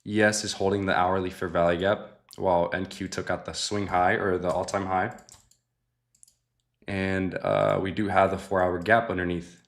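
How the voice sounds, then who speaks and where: A young man with a low, deep voice runs a live trading stream. He talks in a loose, laid-back way to his chat and thinks out loud at his desk mic, often trailing off into a soft mutter. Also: flat delivery, normal speed. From Mexican family. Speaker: livestream host trading futures. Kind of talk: casual live trading commentary.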